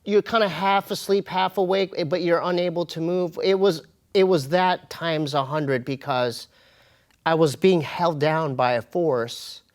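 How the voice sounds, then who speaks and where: Interview guest: American male, voice a bit high, halting, emphatic, slangy, conversational storyteller. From United States. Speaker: interview guest, comedian and hip-hop artist. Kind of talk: casual personal storytelling in an interview.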